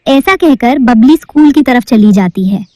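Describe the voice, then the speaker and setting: A woman's voice: fairly high pitch, lively intonation, moderate pace, mellow, dry recording, clean studio sound. A woman narrating a cartoon story in a storybook-telling manner.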